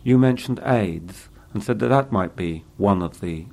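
A male interviewer with a low, gravelly voice asks long questions. He talks in a measured, hesitant way, with a rough, creaky edge throughout. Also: dark tone.

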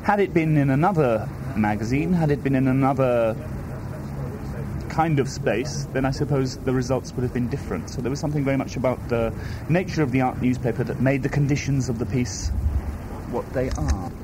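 A man from Wales, a native Welsh speaker, talks through his ideas in a hesitant, circling way, restarting phrases as he goes. His voice sits at an ordinary middle pitch for a man. A clear hum of outdoor noise runs behind him. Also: brisk. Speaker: artist interviewee. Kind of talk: reflective interview answers.

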